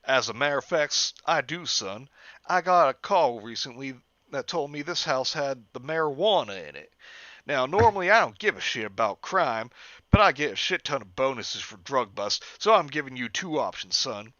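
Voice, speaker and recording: A man's voice: medium pitch, big pitch swings, moderate pace, dry recording, pitched above the performer's own voice. A man voicing a bigoted, trigger-happy male police officer in a drawling hick voice, on a dull lo-fi recording. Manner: drawling, loud and blustering.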